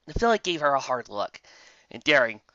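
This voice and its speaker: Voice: medium pitch, sing-song, a little fast, pushed and bright, no room sound. A narrator reading a scripted story in a dramatic storytelling manner, occasionally stumbling over words. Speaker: fan fiction narrator. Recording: slightly dull home recording.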